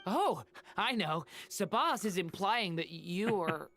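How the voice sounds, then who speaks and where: A woman voicing a gentle, earnest young boy in a video game, courteous and a little hesitant. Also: medium pitch, lively intonation, slow, faint music behind. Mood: eager.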